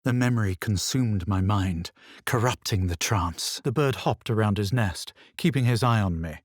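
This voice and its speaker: A man playing a self-assured, wary fantasy adventurer in a video game, speaking in a measured, deliberate way. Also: on the low side, no room sound, studio quality, lightly acted. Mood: grave. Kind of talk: video game voice lines, narration and barks.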